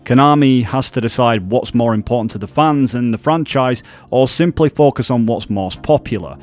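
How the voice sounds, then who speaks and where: A man's voice: medium pitch, normal speed, darkish tone, soft background music. A man presenting gaming news as scripted video narration.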